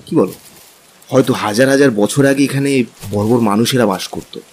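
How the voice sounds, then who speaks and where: An actor playing an easygoing young husband, practical and curious, in a conversational, questioning style for an audio drama. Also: male voice, medium pitch, normal speed, a little mellow, slight background noise, close to an everyday voice. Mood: casual, curious. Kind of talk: dramatized Bengali audio story. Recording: music and rain behind.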